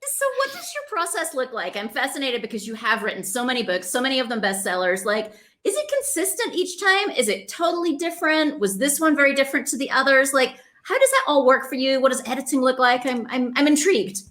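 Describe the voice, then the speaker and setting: A woman's voice: high voice, big pitch swings, a little fast, few pauses, fairly bright tone. A woman hosting a casual author interview, effusive and enthusiastic, piling up questions in an informal way. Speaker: podcast host interviewing an author.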